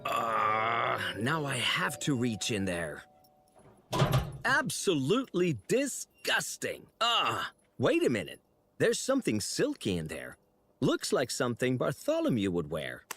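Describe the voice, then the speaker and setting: Casting: young apprentice angel, naive, polite, easily flustered, very animated intonation, game dialogue over soft music. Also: male voice, mid-range voice, slow, full character voice. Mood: disgusted, curious. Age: young adult. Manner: hesitant, earnest. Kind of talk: video game character dialogue. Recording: music under the voice.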